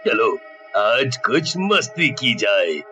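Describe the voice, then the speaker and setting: A man voicing a scornful, gloating devil in a village bully's body for a cartoon story, with a menacing, drawn-out delivery. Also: medium pitch, sing-song, sharp tone, faint music behind, heavily acted. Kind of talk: Hindi cartoon story acting.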